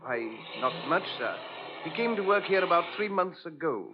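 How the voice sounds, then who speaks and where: An actor playing a polite, hesitant and servile butler in a 1940s radio drama. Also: male voice, on the high side, big pitch swings, moderate pace. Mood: earnest, deferential. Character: deferential, hesitant household butler. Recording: muffled old radio broadcast.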